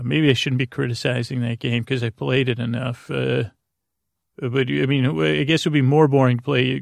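A middle-aged man hosting a bedtime sleep podcast, rambling and halting, self-deprecating and trailing off mid-sentence. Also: mid-range voice, creaky, no room sound, studio quality. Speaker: podcast host.

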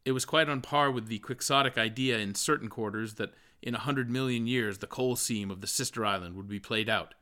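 A man's voice: mid-range voice, brisk, few pauses, bright, forceful tone. A man reading literary prose aloud as a scripted audiobook narration. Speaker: audiobook reader.

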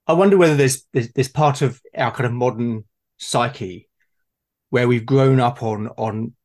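A man with a medium-pitched voice talks in a conversational way. He is hesitant, circling back and repeating words while he works out his thought. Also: a little slow, darkish tone. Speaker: tabletop gaming podcast speaker. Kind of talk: casual conversational discussion.

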